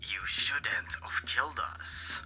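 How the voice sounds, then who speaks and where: A man voicing an ominous, vengeful toy villain from a horror story, slow, hushed, breathy and menacing. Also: medium pitch, in a whisper, faint music behind, poor audio.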